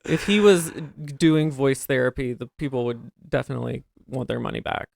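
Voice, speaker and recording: A man co-hosting a casual podcast chat, talking in a soft, breathy voice that listeners call soothing, telling stories animatedly. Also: mid-range voice, brisk, no room sound, studio quality. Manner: chatty, animated storyteller. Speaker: podcast co-host.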